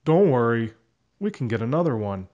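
A man plays a kindly critter father in a children's storybook. His delivery is animated and emphatic, protective and reassuring. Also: medium pitch, moderate pace, muffled recording, lightly acted.

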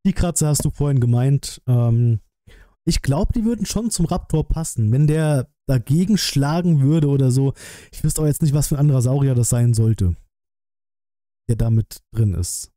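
A man's voice: medium pitch, lively intonation, normal speed, mellow, no echo. A man in his thirties from Germany chatting casually as a livestream host, blunt and colloquial, thinking aloud, close to a desk microphone. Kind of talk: casual livestream chat and trailer reaction. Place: small decorated streaming room indoors. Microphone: close desk microphone, headset worn.

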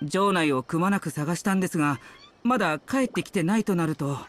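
A man's voice: sits high, expressive, brisk, a bit bright, a little hiss or hum. A man voicing a humble, loyal young servant in a video game, anxious and very polite, with an apologetic, hesitant manner. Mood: worried. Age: young adult. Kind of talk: video game character acting.

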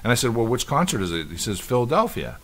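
A man's voice: mid-range voice, sing-song, fast, fairly bright tone. Rambling man in his fifties, casual co-host. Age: 50s. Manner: rambling storyteller with many restarts. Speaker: podcast co-host. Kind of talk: casual chat.

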